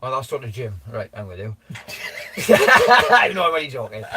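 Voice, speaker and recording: A man's voice: mid-range voice, huge pitch swings, normal speed, bright, forceful tone, breathy edge. A man hosting a casual live stream, joking, laughing often and thanking viewers for their comments, with a slight cough.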